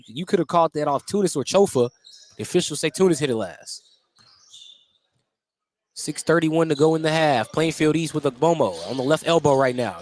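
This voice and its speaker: A man doing live play-by-play commentary of a basketball game. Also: a little high. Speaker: play-by-play announcer. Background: basketball gym ambience, bouncing balls.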